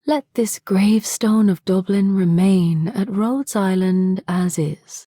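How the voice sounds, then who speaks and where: A woman's voice: mid-range voice, slow pace, mellow, dry recording. A woman voicing a grave, commanding, regal leader in a game. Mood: solemn, resolute. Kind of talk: game character voice acting.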